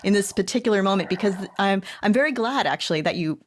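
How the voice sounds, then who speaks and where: A woman's voice: medium pitch, lively intonation, fast talker, a little hiss or hum. A woman co-hosting a podcast who interviews her guest in an affirming, chatty way and laughs easily. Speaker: podcast co-host. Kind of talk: conversational interviewing.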